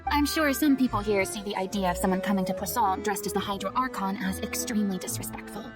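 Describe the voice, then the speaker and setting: A woman's voice: mid-range voice, fast, over music, close to an everyday voice. A woman playing a dramatic, vulnerable young former stage idol in a video game, with theatrical, formal phrasing. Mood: anxious, resigned.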